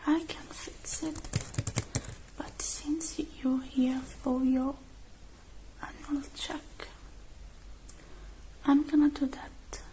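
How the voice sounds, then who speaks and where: Softly whispering woman, unhurried ASMR roleplay. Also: high-pitched, slight background noise, rough recording. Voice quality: soft, breathy whispering. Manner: slow, gentle, murmured step-by-step narration. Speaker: ASMR creator playing a robot technician.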